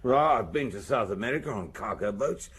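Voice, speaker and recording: An actor in a radio drama playing a wily, ingratiating small-time drug pusher with slangy, hesitant speech. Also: male voice, on the low side, lively intonation, brisk, fairly bright tone, husky, full character voice. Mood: sly.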